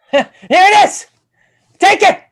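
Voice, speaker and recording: A man's voice: very high voice, extremely slow, fairly bright tone, heavily acted. A man acting a conflicted, desperate young film crew underdog in a screenplay table read, earnest and emotional. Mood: desperate defiance.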